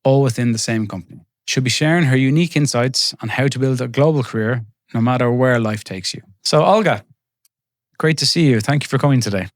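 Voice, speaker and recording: Brief: male podcast host, mid pitch, friendly and conversational, dry close mic. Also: no room sound. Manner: friendly, conversational, quick asides. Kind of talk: podcast interview and scripted intro.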